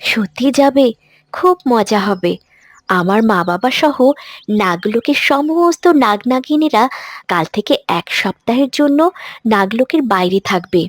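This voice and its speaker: A woman's voice: fairly high pitch, lively intonation, unhurried, no echo. A woman playing a trusting, playful young serpent woman in a cartoon story. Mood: eager, affectionate.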